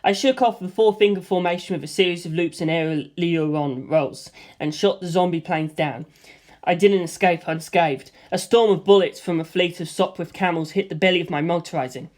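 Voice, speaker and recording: A man reading a first-person action story aloud in a lively way, voicing gunfire sound effects himself. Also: sits high, normal speed. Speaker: audiobook reader.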